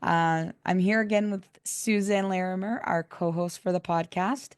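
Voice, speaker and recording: A woman hosting a podcast in casual chat, her voice raspy and hoarse from laryngitis, chatty and laughing often. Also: on the low side, steady tone, moderate pace, no room sound, clean studio sound. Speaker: podcast host.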